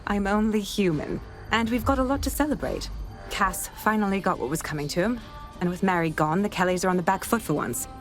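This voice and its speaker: An actress playing a wry, confident police inspector in a game, with a British accent. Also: female voice, mid-range voice, fairly quick, soft background music, close to an everyday voice. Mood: wry, celebratory. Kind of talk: game cutscene acting.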